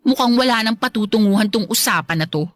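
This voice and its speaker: A woman's voice: fairly high pitch, lively intonation, fast talker, dry recording, studio quality, close to an everyday voice. A woman playing a haughty young stepmother in a Filipino radio drama, curt and sarcastic, syrupy when she feigns affection. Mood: haughty, hostile. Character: haughty, hostile young stepmother, sweet only to her husband.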